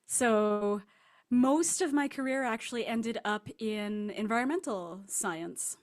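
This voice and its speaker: A woman's voice: fairly high pitch, slow pace. A woman speaking as an author guest in an interview, answering in a casual, reflective way.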